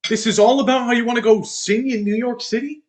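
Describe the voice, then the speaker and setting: A man's voice: extremely high pitch, fairly even intonation, quick pace. A young man acting in a stage play as a controlling, insecure husband who belittles his wife. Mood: scornful. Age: young adult. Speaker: student actor.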